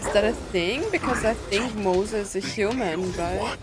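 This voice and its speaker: A woman's voice: mid-range voice, a little slow. A woman streaming a game, reacting casually to it as she plays. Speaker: gaming streamer.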